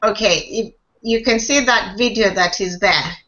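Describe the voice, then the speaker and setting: A woman's voice: medium pitch, measured pace, pushed and bright. A woman speaking hesitantly with many restarts on a live video call, sharing her experience. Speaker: online marketing member. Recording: dull lo-fi video call audio.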